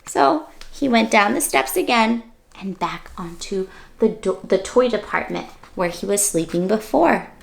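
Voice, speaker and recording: A woman's voice: medium pitch, expressive, unhurried, vocal fry. A woman hosting a children's read-aloud, narrating expressively with exclamations and questions to the listener.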